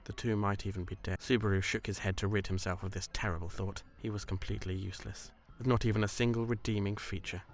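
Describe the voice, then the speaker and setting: A man reading scripted story narration in a measured, literary delivery. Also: fairly low pitch, little pitch movement.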